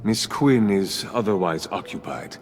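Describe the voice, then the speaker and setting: A man's voice: low-pitched, animated, measured pace. An actor playing a genteel, wordy male villain in a video game, pompous and dryly polite. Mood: smug, courteous. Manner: pompous, florid, alliterative. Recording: game audio under loud music.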